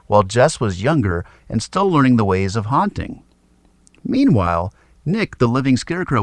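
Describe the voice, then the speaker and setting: A man reads a children's story aloud as narrator. His delivery is expressive and dramatic, with lively swings in pitch, and the recording is dry and close. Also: no room sound.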